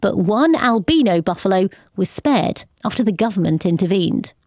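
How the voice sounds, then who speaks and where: A woman's voice: medium pitch, expressive, moderate pace, background noise. A woman presenting live radio news and interviews in a conversational, lightly amused manner.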